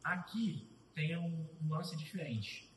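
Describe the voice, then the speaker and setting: A young man with a fairly high voice talks through code as he types it. He thinks aloud, pauses and restarts his sentences, and sounds a little distant from the mic. The room adds a roomy echo, with a faint hum of ambience behind him. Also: animated. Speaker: student presenter. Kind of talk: live coding tutorial.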